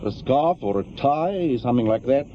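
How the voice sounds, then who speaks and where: An actor playing a matter-of-fact, clinical police doctor in a radio drama. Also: male voice, medium pitch, lively intonation, natural voice. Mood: detached, laconic. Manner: unhurried, clinical, laconic. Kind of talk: naturalistic radio drama acting. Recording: dull lo-fi radio recording, noisy.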